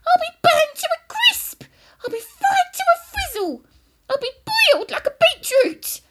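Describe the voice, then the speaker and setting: A woman voicing a cantankerous old grandmother from a children's storybook, yelling in a shrill, screechy, outraged voice. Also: sing-song, a little slow, full character voice. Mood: frantic, outraged. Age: older. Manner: exclamatory, yelling. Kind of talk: animated children's storybook character voice.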